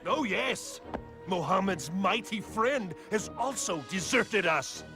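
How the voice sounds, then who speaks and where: An actor voicing a scornful, scheming villainous chieftain in an animated film, theatrical and sneering with drawn-out emphasis. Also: male voice, high-pitched, exaggerated voice. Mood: grim, menacing. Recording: loud music behind the voice.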